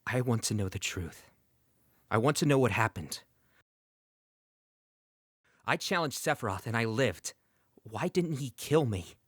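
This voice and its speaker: A man's voice: mid-range voice, animated, measured pace, long pauses, dry recording, clean studio sound. A man playing a brooding, haunted young warrior in a fan dub, earnest and intense. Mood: subdued, brooding. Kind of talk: dramatic fan dub acting.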